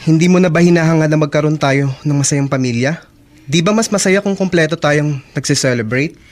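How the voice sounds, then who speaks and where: An actor in a Filipino radio drama playing an earnest, hopeful young brother who speaks pleadingly. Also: male voice, a little high, brisk, natural voice.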